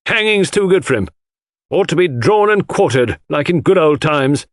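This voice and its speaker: A man plays a gruff, loyal Englishwoman in an audiobook, indignant and outspoken. The voice sits high and swings widely in pitch. Sentences come short, blunt and clipped, in a dry close recording. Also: lively intonation, moderate pace, no room sound, natural voice. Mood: gruff indignation. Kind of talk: audiobook character voice.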